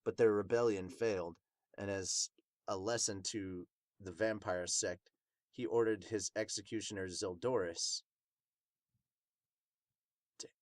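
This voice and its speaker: A man voicing a remorseful demon captain in a manga dub, recounting his past in a somber, measured way. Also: fairly low pitch, steady tone, no echo, clean studio sound, natural voice. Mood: somber, regretful.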